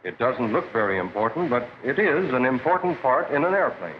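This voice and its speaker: An actor with an American accent playing a practical factory instructor who explains things methodically in a 1940s training film. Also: male voice, mid-range voice, animated, brisk, lightly acted. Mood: friendly, explaining. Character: plain-spoken, practical male factory instructor. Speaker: shop floor instructor. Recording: dull, hissy 1940s film soundtrack.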